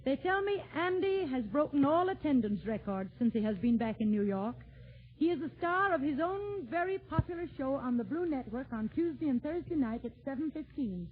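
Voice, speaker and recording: A woman's voice: high-pitched, animated, moderate pace. A woman hosting a 1940s radio show with formal, scripted announcements. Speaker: show host. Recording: muffled old 1940s radio broadcast.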